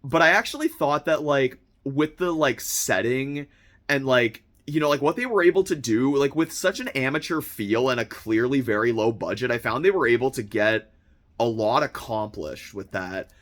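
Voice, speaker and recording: A young man with a slightly hoarse, worn voice after being sick talks straight to his viewers. He rambles eagerly through an unboxing, full of fillers and restarts, and he keeps getting excited about what he pulls out. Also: mid-range voice, moderate pace. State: slightly hoarse, recovering from illness. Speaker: vlogger unboxing a film subscription box. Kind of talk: casual unboxing chat.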